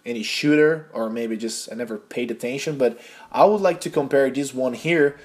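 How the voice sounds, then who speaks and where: A young man from Brazil with a Brazilian accent, a native Portuguese speaker, narrating a casual vlog in earnest, slightly halting English. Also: medium pitch. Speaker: gaming YouTuber.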